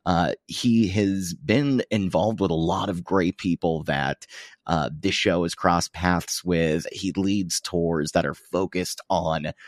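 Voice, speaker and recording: A man hosting a podcast interview, talking in a halting way with many fillers and restarts. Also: deep voice, no echo, clean studio sound. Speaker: podcast host. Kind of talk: conversational interview.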